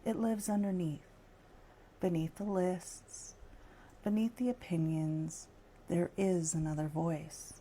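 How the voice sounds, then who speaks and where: A woman leading a guided meditation in a slow, soft, soothing way with long pauses. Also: fairly low pitch, steady tone, noisy background.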